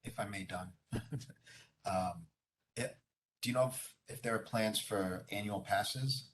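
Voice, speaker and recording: A council member asking friendly, inquisitive questions during a meeting. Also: male voice, lowish voice, unhurried, fairly bright tone, a little hiss or hum, low-quality recording. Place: council chamber.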